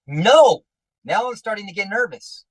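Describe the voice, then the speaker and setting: An actor playing a grumpy, nervous husband in a 1940s-style radio comedy, sarcastic and grumbling with quick comebacks. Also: male voice, sits high, big pitch swings, no echo, studio quality, distant mic. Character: grumpy, nervous middle-aged husband before surgery.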